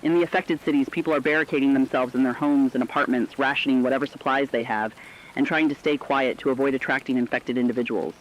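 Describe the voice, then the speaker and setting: A man's voice: mid-range voice, steady tone, fast talker, a little hiss or hum, natural voice. Earnest radio co-anchor played by a man, muffled sound. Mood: troubled, earnest. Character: concerned, empathetic male radio co-anchor dwelling on human suffering. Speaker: news co-anchor. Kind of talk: scripted radio commentary.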